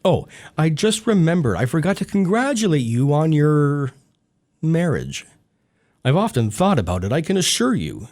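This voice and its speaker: Male actor as sardonic self-made gentleman, period drama; highish voice, highly animated, bitter and mocking. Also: moderate pace. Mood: bitterly mocking. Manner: mocking, coolly formal. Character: bitter, sardonic former stable boy turned wealthy gentleman. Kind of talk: period literary radio drama acting.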